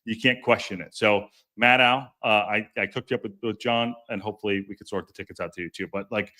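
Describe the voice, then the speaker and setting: A man hosting a podcast, chatting casually and jokingly with live viewers in a deep, strong voice, often stumbling and restarting his sentences. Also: fairly even intonation, a little fast, pushed and bright. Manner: rambling, self-interrupting, stumbles over words; chatty and jokey. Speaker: podcast host. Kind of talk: casual live-stream chat reading viewer comments.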